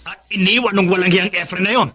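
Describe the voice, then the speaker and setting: Preachy disapproving uncle, kinda high forceful voice, pinoy radio drama. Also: male voice, fairly even intonation, quick pace. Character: disapproving, outspoken middle-aged uncle, the family's elder brother. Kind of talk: Filipino radio drama acting.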